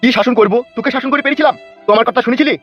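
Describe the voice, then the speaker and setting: A voice performer playing a grumpy, foul-mouthed old husband in a cartoon, shouting crude insults at his wife. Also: mid-range voice, very fast, pitched below the performer's own voice, natural voice. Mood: cursing, grumbling. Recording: slightly dull lo-fi audio. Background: light background music.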